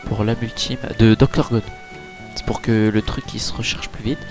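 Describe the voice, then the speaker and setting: Man, let's-play commentary, chatty with lots of fillers, clear game music in the background. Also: lowish voice, steady tone, moderate pace. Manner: chatty, filler-heavy, easily impressed. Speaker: gaming YouTuber. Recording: game music playing behind.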